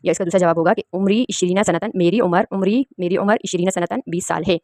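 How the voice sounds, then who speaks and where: A woman's voice: lowish voice, steady tone, quick pace, warm tone, no room sound. A woman from Pakistan, teaching an Arabic lesson and patiently explaining each word. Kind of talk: spoken language lesson.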